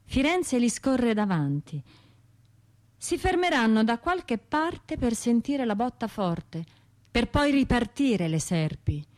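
A woman's voice: fairly high pitch, very animated, slow. An actress playing a bitter, sardonic, grieving woman with a Tuscan accent in a colloquial radio drama monologue. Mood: tense, irritated. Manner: colloquial, sardonic, acting out other people's remarks. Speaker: stage and radio actor.

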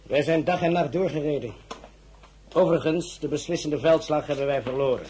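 An actor playing a sober, loyal French colonel and prisoner of war, formal and deferential, in an audio drama. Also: male voice, on the high side, brisk, a little mellow, slight background noise, close to an everyday voice, rough recording. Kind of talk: Dutch audio drama acting.

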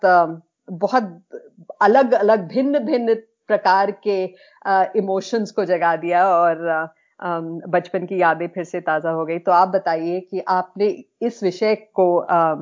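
An Indian woman co-hosting a podcast in a conversational, thoughtful way, often pausing to find her words. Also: on the low side, a little mellow. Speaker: podcast co-host. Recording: dull, lo-fi audio.

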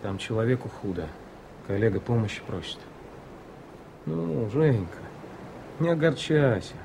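An actor playing a weary, gentle, decisive doctor in a Soviet radio drama. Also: male voice, mid-range voice, big pitch swings, measured pace, vocal fry, background noise.

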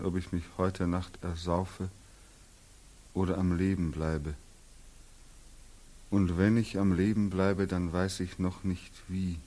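A despairing young war veteran in an old German radio play. His voice is lowish and very slow, weary and bitter, with halting, heavy repetitions. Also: a little mellow. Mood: subdued, bitter. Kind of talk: 1940s German radio drama acting. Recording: 1940s radio drama recording.

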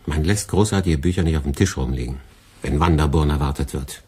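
A man's voice: extremely low pitch, fairly quick, dark tone, breathy edge, heavily acted. A man playing a sardonic middle-aged department head who lectures his employee in a dry, ironic tone.

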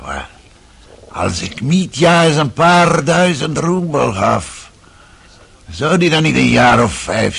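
An actor playing an aging, miserly, lecherous Russian father in a radio drama, self-pitying and rambling, with a gravelly, husky voice. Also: medium pitch, pushed and bright, heavily acted. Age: older. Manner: rambling, muttering, with sighs and grunts.

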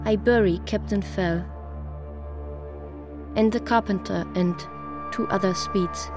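A woman playing a Fuegian native who gives testimony in halting broken English in a radio play. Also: medium pitch. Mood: halting, testifying. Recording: voice over prominent music bed. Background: music.

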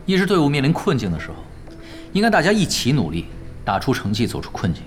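A composed former champion gamer in a radio drama. He explains things dryly and matter-of-factly in a mid-pitched voice with lively intonation, over faint music. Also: fast talker. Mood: calm analysis. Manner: matter-of-fact, dry, explanatory. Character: composed, dry-witted ex-champion esports strategist, man. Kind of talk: Chinese radio drama acting.

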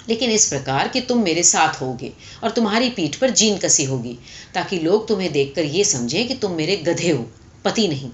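Woman playing an imperious, animated young heiress. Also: fairly low pitch, muffled recording, lightly acted. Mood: commanding. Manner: firm, sharp, dictating terms. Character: imperious, calculating young rich heiress, coolly decisive.

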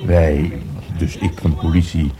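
An actor playing a dry, fond, slightly drunk police detective in a radio drama. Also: male voice, sits low, level tone, normal speed, warm tone, natural voice. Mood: dry, matter-of-fact. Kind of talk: naturalistic radio drama acting. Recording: music behind the voice.

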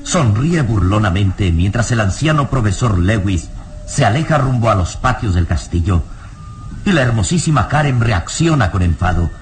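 A man's voice: lowish voice, lively intonation, moderate pace, a little hiss or hum. A man narrating a radio drama in a solemn, theatrical style. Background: music.

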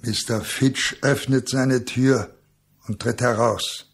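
Slow, gravelly, solemn narrator. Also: male voice, mid-range voice, steady tone. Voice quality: gravelly, husky. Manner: solemn, incantatory. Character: solemn narrator of a jungle tale. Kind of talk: poetic radio drama narration.

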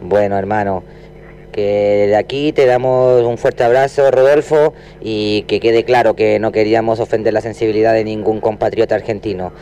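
A man's voice: fairly low pitch, dark tone, slight background noise. A man with an accent from Spain, co-hosting a radio show with colloquial, joking chat. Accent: Spanish from Spain. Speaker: radio co-host.

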